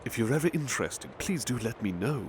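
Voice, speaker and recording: A man voicing a devoted, big-hearted butler who softly reads poetry aloud in an ASMR roleplay. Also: mid-range voice, expressive, a little hiss or hum, natural voice. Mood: tender, calm. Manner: soft, unhurried, tender recitation. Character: gentle devoted male butler servant. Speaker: butler reading poetry to the listener.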